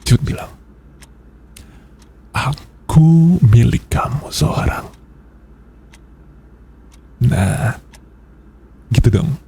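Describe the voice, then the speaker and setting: A man acts out a possessive yandere boss boyfriend in an ASMR roleplay. His voice is very dark and husky, heavy with breath, and he speaks slowly and close. The tone is coaxing yet controlling, menace wrapped in softness. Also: mid-range voice, animated, full character voice. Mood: teasing, possessive. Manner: slow, coaxing, controlling, with soft threats.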